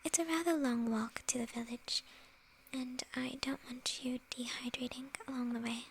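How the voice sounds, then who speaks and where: A woman voicing a sly, flirtatious witch in an ASMR fantasy roleplay, teasing in a soft, breathy whisper. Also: high voice, fairly even intonation, slight background noise. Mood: gossipy, coaxing. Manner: slow, teasing, with soft chuckles.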